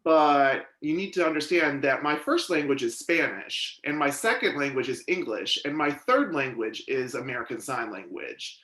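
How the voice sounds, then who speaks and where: A man giving steady, measured first-person voice interpretation of a deaf witness's testimony at a legislative hearing. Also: medium pitch, roomy sound. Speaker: sign language interpreter voicing a deaf witness. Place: hearing room.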